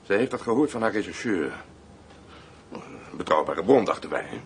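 A man's voice: deep voice, very animated, normal speed, a little hiss or hum. A man playing a mocking, coarse, cold gangster henchman in a radio drama, taunting and threatening.

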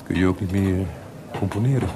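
An actor as low-voiced, frank Haydn. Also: male voice, fairly quick, noisy background, lightly acted. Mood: gently probing. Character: Haydn, seasoned male composer, wry, frank, fatherly. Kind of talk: Dutch radio play acting.

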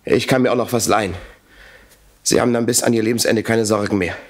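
A man playing a desperate captive lawyer in a radio play, pleading for his life in a halting, repetitive way. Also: lowish voice, fairly even intonation, close to an everyday voice. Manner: pleading, halting, repeating himself. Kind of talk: German radio play acting.

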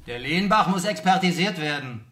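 A man's voice: fairly high pitch, expressive, normal speed, bright, forceful tone, a little hiss or hum. An actor playing a desperate, out-of-work literary man in a radio play, bitter and intense.

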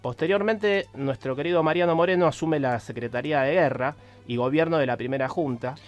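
A man with an Argentine Rioplatense accent explaining history on the radio, didactic, with halting pauses and emphatic stresses. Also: on the high side, dull audio, faint music behind.

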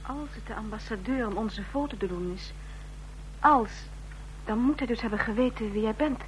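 An actress playing the anxious, sharp-witted companion of a secret agent in a radio drama. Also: female voice, on the high side, moderate pace, lightly acted. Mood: worried. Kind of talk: Dutch radio drama acting. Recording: noisy radio drama recording with hum.

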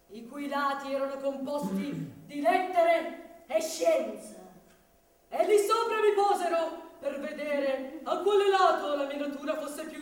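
Imperious know-it-all master, high voice, slow declamatory delivery, commedia dell'arte, heard from afar in a hall. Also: female voice. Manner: pompous, declamatory, drawn-out. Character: pompous, imperious, self-proclaimed learned master of Arlecchino. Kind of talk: commedia dell'arte stage comedy. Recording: echoey hall, distant microphone.

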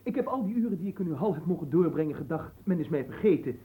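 A man's voice: on the high side, animated, fast talker, dark tone, lightly acted. An actor playing a poor, ambitious and cheeky young man in a radio play, speaking politely and earnestly. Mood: polite, composed. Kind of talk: theatrical radio play acting.

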